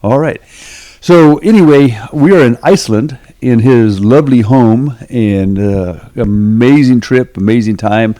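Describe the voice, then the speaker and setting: A man's voice: medium pitch, unhurried, hardly any pauses, dark tone. Man hosting a casual interview. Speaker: podcast host interviewing a guest.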